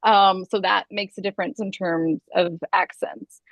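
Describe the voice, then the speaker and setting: A woman's voice: medium pitch, steady tone, unhurried, gravelly, no room sound. A woman with an American accent, an author explaining at length in a casual video-call chat.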